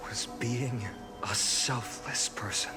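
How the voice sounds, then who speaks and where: A man playing an earnest young heroic leader in a game, speaking in a measured, solemn, oratorical way. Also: medium pitch, natural voice. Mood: solemn, tender. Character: earnest, noble young male hero leader addressing his people. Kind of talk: dramatic game voice acting, solemn speech. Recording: game audio over clear background music.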